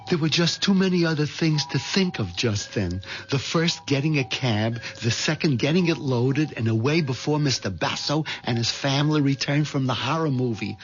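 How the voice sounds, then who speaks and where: A man's voice: mid-range voice, expressive, moderate pace, few pauses, lightly acted. A man acting a nervous, hesitant American husband caught up in a murder plot, in a 1970s radio drama. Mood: grim, confessional. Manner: hesitant, stammering under pressure. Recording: dull vintage radio recording with music behind.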